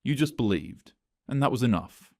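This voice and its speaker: A man playing a quiet, stern male coworker. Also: medium pitch, lively intonation, creaky, no room sound, clean studio sound, voice pushed up. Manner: quiet, measured. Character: calm stern male coworker confronting her.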